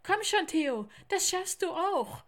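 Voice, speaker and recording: A woman's voice: fairly high pitch, very animated, a little slow. Storybook polar bear boy, brave and caring, plain reassuring delivery, read by a woman. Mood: urgent. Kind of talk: children's storybook character voice.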